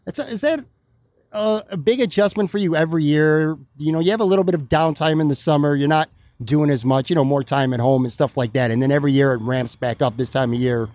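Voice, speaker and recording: A man with a slightly high-pitched voice hosts a casual podcast chat, asking long, friendly, rambling questions. The sound is dry and close, recorded in a bar. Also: quick pace, a little mellow, dry recording. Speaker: podcast host. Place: bar or restaurant.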